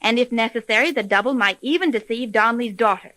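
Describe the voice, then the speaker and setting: A woman's voice: a little high, quick pace, sharp tone, no echo. A woman playing an earnest private detective who runs an agency, in a 1930s radio drama recording. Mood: keen, reasoning.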